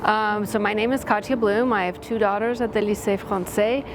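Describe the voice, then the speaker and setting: A woman's voice: mid-range voice, fairly even intonation, normal speed, background noise. A woman from Latvia, a school parent and board member, giving a candid testimonial with frank, self-deprecating humor and open feeling. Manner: heartfelt, open about feelings. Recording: music bed under the voice.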